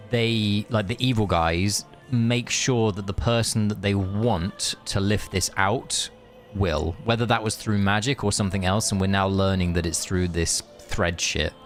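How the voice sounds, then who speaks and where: A man doing casual live game-stream commentary, joking, swearing and haltingly reading story text aloud. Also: on the low side.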